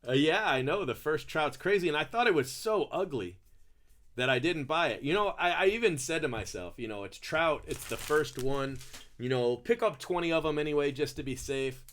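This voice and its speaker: A man's voice: on the high side, animated, moderate pace, a bit bright, slight background noise. A man hosting a sports card break, chatting casually, laughing often and calling out player names as he opens packs.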